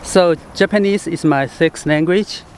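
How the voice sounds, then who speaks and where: A man from Chengdu with a Chinese accent, a travel vlogger talking casually to camera outdoors, halting and full of fillers. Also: fairly high pitch, a little mellow, slight background noise. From Chengdu, China. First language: Sichuanese. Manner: chatty, halting, with frequent fillers and laughs. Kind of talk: casual vlog talk to camera. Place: outdoors in the city.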